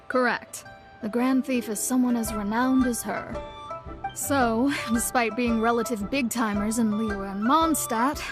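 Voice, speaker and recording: A woman acts out a young adventurers' guild receptionist for an anime game. She is poised and courteous, explaining things in a highish, measured voice. Music plays clearly behind her. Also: natural voice.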